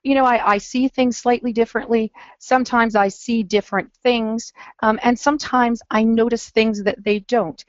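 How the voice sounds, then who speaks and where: A hoarse, croaky woman presenting as a family advisor, earnest and measured with some fillers. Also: fairly high pitch, fairly even intonation, dry recording. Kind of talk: webinar presentation. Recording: dull lo-fi webinar audio.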